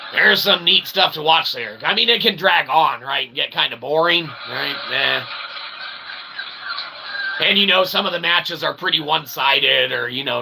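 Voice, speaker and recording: A man hosting a live stream in a rambling, wordy, mock-formal way, often checking in with short tag questions. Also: on the high side. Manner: wordy, pseudo-formal phrasing with frequent "okay?" tags. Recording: clear background noise, room ambience.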